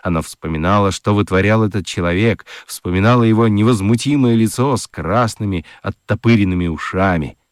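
A man's voice: fairly low pitch, a little fast, hardly any pauses, dry recording, clean studio sound. A man narrating a children's radio story expressively from a script.

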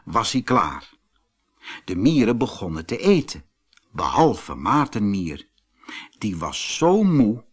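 A man narrating a children's fairy tale audiobook in an expressive storytelling manner. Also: mid-range voice.

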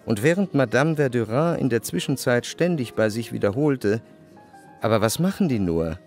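A man narrating a literary radio play in a measured, faintly ironic manner. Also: medium pitch, lively intonation, a little mellow, faint music behind.

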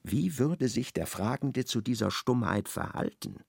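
A man narrating a radio play in a measured, ironic storytelling manner. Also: mid-range voice, very animated, gravelly, slightly rough, dry recording, clean studio sound.

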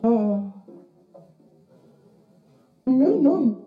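An actress in a radio drama playing a bewildered, disoriented woman with no memory, speaking in halting questions. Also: on the high side, sing-song, narrow, tinny audio, heavily acted. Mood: astonished. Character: bewildered woman with lost memory, revived into a strange time.

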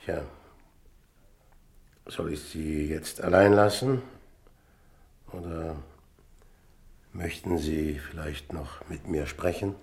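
An actor playing a calm, courteous investigator with a gravelly voice and measured, polite delivery in a German radio crime drama. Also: male voice, rumbling low, exaggerated voice. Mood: gently probing.

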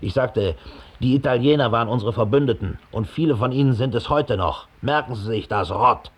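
A man's voice: lowish voice, breathy edge. An actor playing a big, slovenly, resentful middle-aged Nazi operative who gives his account with contempt and self-importance in a radio play. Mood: indignant. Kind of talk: radio drama monologue, police statement. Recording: 1960 studio radio play.

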